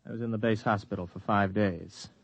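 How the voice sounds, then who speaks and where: An actor playing a weary, blunt veteran flight officer, plain-spoken and terse, in 1950s radio drama style. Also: male voice, lowish voice, steady tone, fairly quick, vocal fry, breathy edge, no room sound. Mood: narrating, weary. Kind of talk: 1950s radio drama acting with first-person narration.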